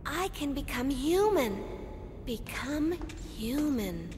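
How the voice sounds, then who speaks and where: Very high-pitched childlike android girl. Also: very animated, slow pace, exaggerated voice. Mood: dreamy. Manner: childlike, earnest. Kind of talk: anime dub acting.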